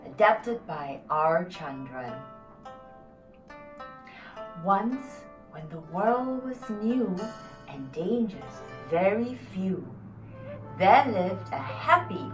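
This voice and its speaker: A woman narrating a dramatised story in an expressive, theatrical way. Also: medium pitch, far from the mic. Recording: echoey room, off mic, music behind.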